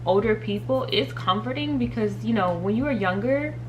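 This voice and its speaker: A woman's voice: medium pitch, lively intonation, moderate pace, darkish tone, slight background noise, low-quality recording. A thirty-year-old woman chatting casually while doing her makeup, giggling often and talking straight to her viewers. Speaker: beauty and faith vlogger. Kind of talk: casual makeup tutorial chat.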